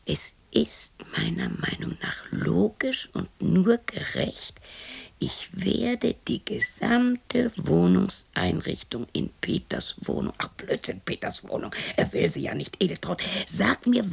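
An actress playing a lonely, bitter widow of sixty in a radio play, talking to herself in a husky, creaky voice that often drops to a whisper, scolding and questioning herself. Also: female voice, mid-range voice, big pitch swings, slow pace, heavily acted. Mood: brooding, self-scolding. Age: 60s. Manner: talks to herself, scolding and questioning, abrupt shifts.

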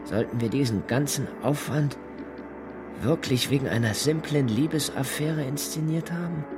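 An actor playing a menacing Gestapo interrogator in a radio play, coldly courteous, with measured, pointed questions. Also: male voice, medium pitch, music in the background, close to an everyday voice. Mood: brisk, controlled. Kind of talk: German radio play acting.

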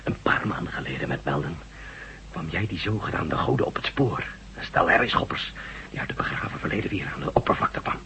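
An actor playing a badly wounded Russian space official in a radio drama, speaking haltingly and short of breath as he pleads urgently. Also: extremely low pitch, expressive, pushed and bright, exaggerated voice, poor audio. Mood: grave, urgent. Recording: radio recording with faint noise.

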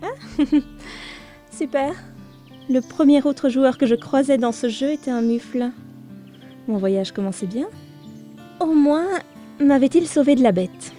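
A woman playing a novice ranger who tells her own adventure in a fantasy audio drama, measured and a little wry. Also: high voice, warm tone, soft background music. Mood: wry, curious. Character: young female ranger, novice gamer, wry and curious narrator. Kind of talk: first-person audio drama narration.

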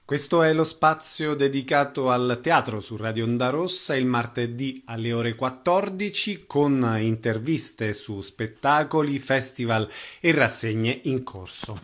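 Male radio host, mid-range pitch, bright crisp-edged tone, interview questions and announcements. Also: a little slow, hardly any pauses. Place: radio broadcast.

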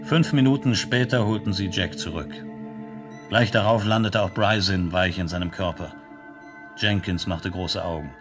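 An actor playing a detached narrator of a science fiction radio play, telling it in a factual, report-like manner. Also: male voice, low voice, normal speed, close to an everyday voice. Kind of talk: scripted narration, 1970s German radio drama. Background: electronic music.

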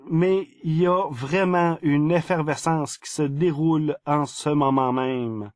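A man's voice: a little high, darkish tone, dry recording, studio quality. A man with a Quebec French accent presenting a scripted news segment on a podcast.

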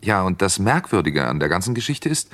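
An actor playing an affable, bemused crime novelist in a vintage radio play, courteous and musing in manner. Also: male voice, low voice, very animated, fairly quick, fairly bright tone. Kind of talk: 1960s German radio play acting.

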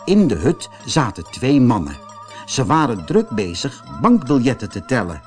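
A man reading a children's audiobook story as narrator, in an expressive, dramatised way. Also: mid-range voice, normal speed, soft background music.

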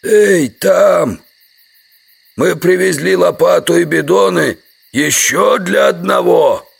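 An actor playing a villager shouting down from afar in a rough, drawn-out call. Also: male voice, sits high, heavily acted. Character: distant villager shouting down into a pit.

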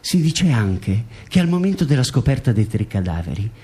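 A man playing a formal, measured narrator who reads out a case in a scripted documentary radio drama. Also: mid-range voice, very animated, dark tone, close to an everyday voice. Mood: grave, factual. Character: formal male court reader and case narrator.

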